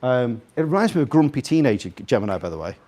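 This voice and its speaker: Animated man with a slight Scouse accent. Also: medium pitch, fast talker. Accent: slightly Scouse (Liverpool). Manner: chatty, jokey, rambling, puts questions to listeners. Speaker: guest speaker. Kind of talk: informal live talk to an audience. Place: live in a room in front of an audience.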